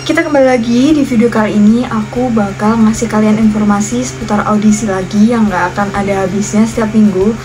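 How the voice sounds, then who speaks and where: A woman's voice: mid-range voice, fairly even intonation, fairly quick, hardly any pauses, poor audio. A young woman from Indonesia giving casual, chatty audition tips straight to her viewers. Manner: chatty, addresses viewers directly. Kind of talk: casual tutorial vlog. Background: music.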